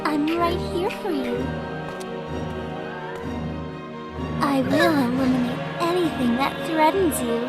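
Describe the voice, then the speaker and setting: A woman playing a sweet-talking, menacing young female killer in an anime fan dub, slow and sugary. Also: high-pitched, loud music behind the voice, lightly acted. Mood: sweetly menacing.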